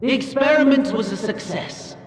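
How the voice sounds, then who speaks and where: A man's voice: very high-pitched, animated, moderate pace. A man voicing a grave, scheming plotter in a fantasy game cutscene. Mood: grave urgency. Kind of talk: dramatic game cutscene acting. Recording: reverberant hall with faint noise.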